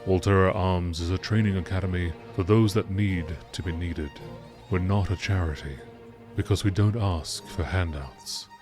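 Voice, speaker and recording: A man reading scripted lore texts in a nature documentary narration style, with measured, deliberate phrasing. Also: low voice, steady tone, over music.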